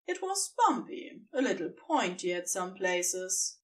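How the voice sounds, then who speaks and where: A woman reads a story as its narrator, slightly off the microphone. Her delivery is slow and expressive, with wide swings of intonation in a scripted storytelling style. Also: fairly low pitch, distant mic.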